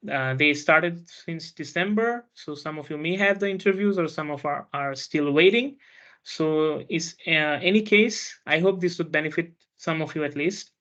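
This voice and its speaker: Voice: mid-range voice, fairly bright tone, lo-fi sound. A student hosting an online panel, talking hesitantly with many fillers and restarts. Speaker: panel host and student speaker.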